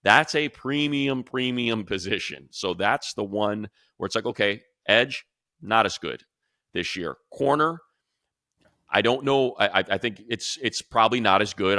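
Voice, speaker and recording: A deep-voiced American man hosts a sports talk show. His delivery is forceful and bright, in punchy, emphatic phrases, and the sound is dry and close. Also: dry recording.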